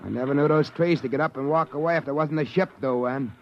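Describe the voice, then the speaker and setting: An actor playing an easygoing, folksy assistant manager of a trading post, with drawling, ungrammatical speech, in 1950s radio drama style. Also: male voice, fairly high pitch, fast talker, slight background noise, close to an everyday voice. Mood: surprised. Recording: dull old radio recording.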